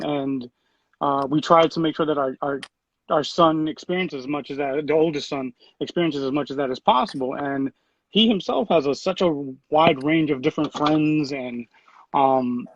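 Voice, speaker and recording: A man in his thirties talks easily about his background, often pausing on "um" and "uh". His voice sits a little higher than most men's. The sound is dry and somewhat dull. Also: no echo. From Puerto Rico. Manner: relaxed, full of fillers and restarts. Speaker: city program director. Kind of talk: conversational interview answers.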